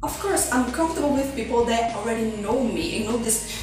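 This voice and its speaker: A woman's voice: fairly high pitch, brisk, recorded from a distance, a little hiss or hum. Brazilian woman vlogging in an echoey room. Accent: Brazilian Portuguese. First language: Portuguese. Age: young adult. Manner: self-deprecating, chatty, animated swings. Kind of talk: candid personal vlog monologue. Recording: echoey room, off-mic, music under.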